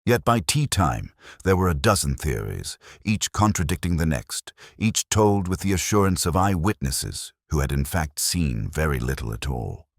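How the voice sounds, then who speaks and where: Very deep-voiced male narrator. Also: very animated, moderate pace, few pauses, no echo, clean studio sound. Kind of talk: scripted mystery story narration.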